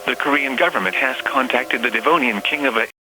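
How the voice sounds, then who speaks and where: A man's voice: medium pitch, a little fast, pushed and bright. A man reading formal, impersonal scripted announcements for an emergency radio broadcast. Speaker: weather radio and alert announcer. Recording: noisy radio broadcast with hum.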